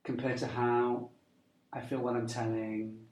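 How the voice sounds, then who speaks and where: A man's voice: medium pitch, little pitch movement, unhurried, darkish tone, roomy sound, poor audio. A man interviewing a storyteller, asking polite, encouraging questions.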